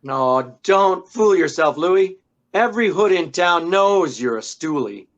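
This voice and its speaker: On a slightly muffled recording, a determined old-time radio gumshoe, played by a man, talks in brusque slang. He is wisecracking and commanding, with a fairly high voice. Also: expressive, a little slow. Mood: tough, pressing. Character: tough, determined, wisecracking male private detective. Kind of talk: 1940s radio acting. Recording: dull, band-limited sound.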